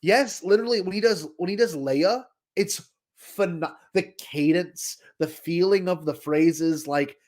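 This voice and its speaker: A man's voice: high-pitched, a little slow, darkish tone. A man co-hosting a podcast in casual chat, talking in an effusive, emphatic way. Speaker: podcast co-host.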